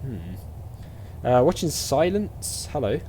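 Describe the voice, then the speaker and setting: A man's voice: medium pitch, very animated, takes it slowly, mellow, slight background noise. A chatty man giving casual gameplay commentary as host, with game ambience behind him. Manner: chatty, reads game dialogue aloud. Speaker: gaming video host. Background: faint game ambience.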